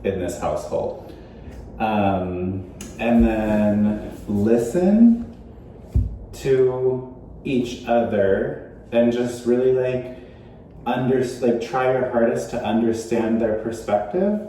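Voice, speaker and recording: A man's voice: mid-range voice, takes it slowly, dark tone, heavy reverb, far from the mic, a little hiss or hum, low-quality recording. A man from Arizona co-hosting a casual family Q&A, easygoing and thoughtful. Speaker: co-host of a family channel. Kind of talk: casual Q&A chat.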